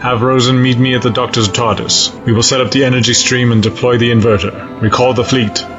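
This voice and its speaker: A man playing a cold, resolute alien space-fleet admiral in a sci-fi audio drama, speaking in formal, measured, stiff diction. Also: mid-range voice, little pitch movement, muffled recording, music in the background, natural voice. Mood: firm, formal.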